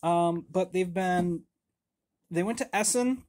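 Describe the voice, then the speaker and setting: A man's voice: sits high, slow, a little mellow. A man in his thirties hosting a casual board game unboxing at home on a webcam, rambling and self-deprecating with lots of fillers and restarts. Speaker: board game channel host. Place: home room with game shelves.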